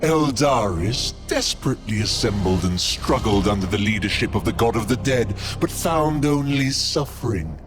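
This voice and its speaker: An actor playing a menacing ancient male Chaos warlord in a game cutscene, with a deep, sinister drawl, gloating and savoring each word. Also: animated, moderate pace, hardly any pauses, music in the background, close to an everyday voice. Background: dramatic orchestral score.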